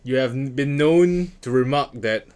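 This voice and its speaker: A man's voice: medium pitch, normal speed, darkish tone, clean tone. A man co-hosting a casual talk show, bantering and explaining in wry, wordy, slightly formal phrases.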